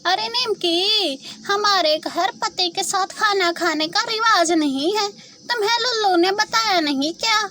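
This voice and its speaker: Woman putting on a very high, meddling female crow. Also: a little slow, pushed and bright, full character voice. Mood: wheedling, scandalized. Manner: wheedling, dramatic, alarmist. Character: nosy meddling female crow, a scheming older sister-in-law. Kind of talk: children's cartoon story voice.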